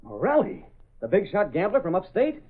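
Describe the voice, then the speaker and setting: An actor playing an eager, cheeky newspaper reporter who talks in excitable slang in an old radio drama. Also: male voice, high voice, normal speed, breathy edge, full character voice. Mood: surprised, eager. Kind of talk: 1930s-40s radio acting. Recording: tinny phone-like old radio recording.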